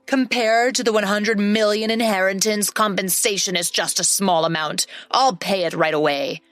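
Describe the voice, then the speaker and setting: A woman's voice: medium pitch, steady tone, moderate pace, fairly bright tone, no echo, faint music behind, lightly acted. A woman reading a story aloud, voicing a greedy, cheating husband who blusters and then begs. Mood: defiant. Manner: pleading, blustering.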